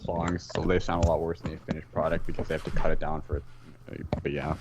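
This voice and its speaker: A man speaking as a podcast guest in casual chat, with hesitant, halting phrasing and repeated words. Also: deep voice, animated, gravelly, noisy background.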